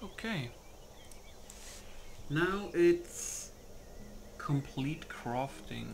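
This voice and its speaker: A man's voice: fairly high pitch, lively intonation, very slow, gravelly, slight background noise. A man giving casual commentary while setting up a game, muttering to himself and reading settings aloud in clipped phrases. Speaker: gaming video host. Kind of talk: casual gameplay setup commentary. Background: music.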